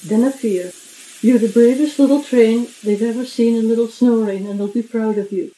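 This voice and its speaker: A woman's voice: fairly high pitch, steady tone, normal speed, warm tone, lightly acted, rough recording. A woman voicing a grand, brusque but kind female express train in a storybook radio reading. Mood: kindly. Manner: brusque, bossy but kindly. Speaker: radio drama voice actor.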